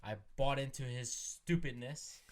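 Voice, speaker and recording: A man's voice: mid-range voice, a little slow, darkish tone. A man co-hosting a fantasy football podcast, bantering casually in a brash, curt, sweary way.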